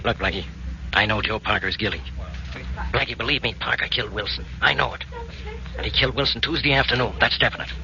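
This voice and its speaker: A man with an American accent playing a gruff, impatient police inspector in 1940s radio drama style. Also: fairly low pitch, fast talker. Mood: frustrated. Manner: gruff, bossy, curt. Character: blustering, impatient, stubborn male police inspector. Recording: muffled, noisy 1940s radio recording.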